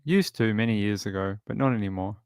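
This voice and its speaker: A man's voice: lowish voice, quick pace, darkish tone, clear, resonant tone, dry recording, studio quality. A man from Sydney with an Australian accent, hosting a livestream in casual, rambling chat with his viewers. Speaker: livestream show host.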